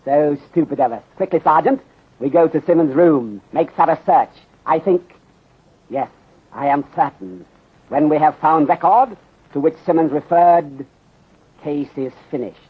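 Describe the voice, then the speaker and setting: A thin, band-limited old radio drama recording carries a shrewd, courteous detective. The voice is husky and a little high. He speaks in measured, formal phrases that drop small words. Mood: intent. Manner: measured, formal, clipped phrasing without articles. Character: courteous, shrewd Chinese detective inspector with aphorisms. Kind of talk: 1930s radio acting. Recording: muffled phone-like 1930s radio recording.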